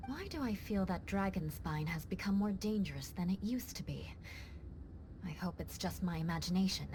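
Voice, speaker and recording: A woman voicing a composed, dutiful young female knight in an anime-style game, measured and resolute. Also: mid-range voice, darkish tone, a little hiss or hum, close to an everyday voice. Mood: grave, resolute.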